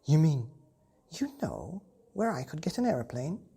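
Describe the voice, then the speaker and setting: Eager small boy for a children's story , played by a woman, earnest, kindly, breathy and animated. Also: sits low, unhurried, exaggerated voice. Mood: eager, excited. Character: eager, kind little boy who dreams of flying.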